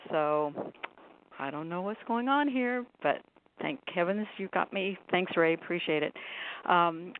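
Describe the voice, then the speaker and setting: A woman's voice: lowish voice, measured pace. A woman calling in to a conference call, asking questions in a polite, chatty way. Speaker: caller. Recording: dull noisy phone line.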